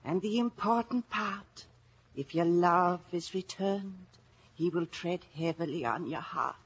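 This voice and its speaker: A woman's voice: lowish voice, a little slow, breathy edge. An actress playing a droll, ominous Irish fortune-telling woman, portentous and wry, in a 1950s radio drama. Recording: dull 1950s radio recording.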